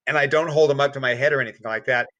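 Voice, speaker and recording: A man's voice: mid-range voice, fairly even intonation, very fast, crowd noise. A man speaking as a podcast guest, explaining step by step in casual conversation with everyday comparisons.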